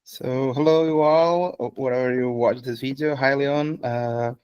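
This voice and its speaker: A Brazilian attorney whose first language is Portuguese, explaining legal steps carefully on a webinar. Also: male voice, mid-range voice, fairly even intonation, a little slow, a little mellow, clear, resonant tone, no echo, dull audio. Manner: careful, explanatory, occasional fillers. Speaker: attorney, guest.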